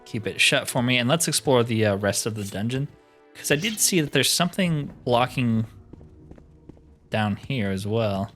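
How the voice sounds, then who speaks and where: A man plays through a game and talks it over as he goes. He muses aloud in a casual, slangy way at an average pitch, with music playing behind his voice. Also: animated, moderate pace, fairly bright tone. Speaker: let's player narrating a roleplay playthrough. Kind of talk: casual gameplay commentary, thinking aloud.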